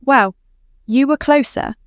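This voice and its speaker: A woman's voice: sits high, slow, dry recording, studio quality, pitched above the performer's own voice. A woman voicing a blunt, overworked space-faring heroine in a story reading.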